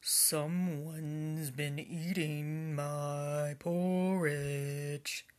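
A woman voicing a big gruff papa bear in a storybook reading, speaking slowly and deeply. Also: fairly even intonation. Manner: slow and deep.

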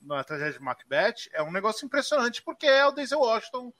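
Casual brazilian guy talking movies, bright tone, keeps restarting his sentences. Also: extremely high pitch, fast. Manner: animated, many restarts and fillers. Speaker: podcast co-host. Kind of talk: casual film chat.

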